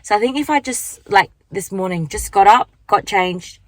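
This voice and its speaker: Young woman, croaky morning voice, rambling casual vlog chat into a handheld phone, dry close sound. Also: medium pitch, normal speed, no echo. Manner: chatty, rambling, lots of fillers. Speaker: vlogger. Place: car at the beach, then walking outdoors.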